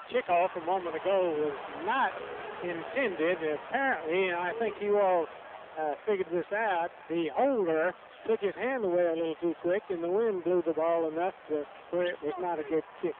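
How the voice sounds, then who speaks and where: A man with a high-pitched voice reports live from the sideline in unscripted speech. His feed is tinny and phone-like, with plenty of noise. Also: normal speed.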